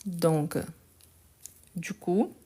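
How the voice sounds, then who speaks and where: Slow, patient woman teaching French. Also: lowish voice, warm tone, slightly rough. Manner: slow, patient explaining with many "donc" and repetitions. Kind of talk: live online French lesson correcting a text. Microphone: phone held in hand.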